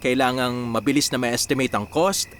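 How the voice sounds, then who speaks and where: An actor with a Filipino accent playing a confident, driven business strategist in a radio drama, explaining things and mixing in English business phrases. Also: male voice, mid-range voice, fairly even intonation, normal speed, a little hiss or hum, close to an everyday voice. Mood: confident, persuasive. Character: confident, driven young male business strategist pitching a plan.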